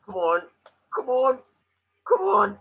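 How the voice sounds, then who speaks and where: A man voicing a small wisecracking Pikachu detective, excitable, sarcastic and fretful, in an amateur table reading. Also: extremely high pitch, slow pace, slightly rough, highs cut off, heavily acted. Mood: nervous, pleading.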